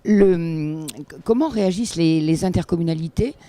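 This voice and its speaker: A male interviewer asks his questions. His pitch is a little high for a man, yet the tone is extremely mellow and dark. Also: normal speed.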